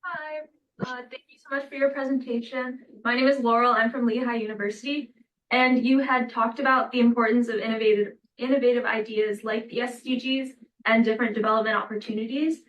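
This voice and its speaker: A woman's voice: fairly high pitch, fairly even intonation, brisk, a bit bright, distant mic, dull audio. A young woman in the audience politely asking a speaker a prepared question.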